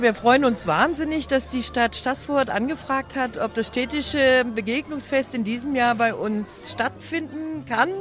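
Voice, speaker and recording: A woman hosting a community festival on her farm, answering a radio interview with enthusiasm and lots of "ähm". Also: fairly high pitch. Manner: enthusiastic, filler-heavy.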